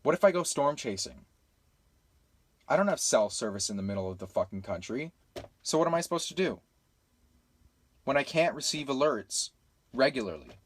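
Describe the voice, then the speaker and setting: A man's voice: medium pitch, sing-song, moderate pace, pauses often. A man with a Canadian accent making an impassioned, unscripted appeal, emphatic and exasperated, leaning on rhetorical questions. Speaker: weather radio video maker.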